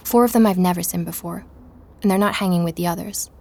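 A woman's voice: lowish voice, fast talker, gravelly, darkish tone. An actress playing an introspective young woman who narrates her own investigation in a scripted first-person audio drama. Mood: observant, intent. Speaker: narrator and protagonist.

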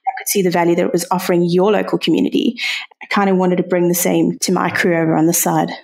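A woman's voice: on the low side, little pitch movement, fast, creaky, a little mellow, low-quality recording. A woman with a South African accent speaking earnestly as a guest on a podcast interview, often murmuring agreement. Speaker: podcast guest, community founder. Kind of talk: casual interview conversation.

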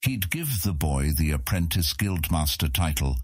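A man's voice: rumbling low, very animated, a little slow, husky, dry recording. A man narrating a scripted fantasy audiobook, recorded close to the microphone.

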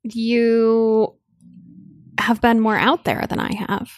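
A woman's voice: on the high side, level tone, slow pace, dry recording, studio quality. A young woman from Connecticut co-hosting a podcast, chatting casually and rambling with lots of "like.". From Connecticut, United States. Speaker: podcast co-host. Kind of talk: casual chat.

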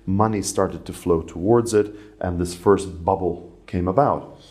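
A middle-aged man with a very low voice talks as an interview guest. He grew up in Saudi Arabia and rambles, filling his answers with fillers and restarts. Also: steady tone, a little slow, a little mellow. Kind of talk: conversational interview.

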